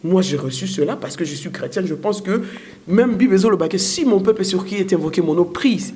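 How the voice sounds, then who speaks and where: A woman's voice: fairly low pitch, animated, fairly quick, warm tone. A woman from the Democratic Republic of the Congo with a Congolese accent, discussing as an interview guest in an emphatic, repetitive way, switching languages mid-sentence. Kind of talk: political and religious discussion.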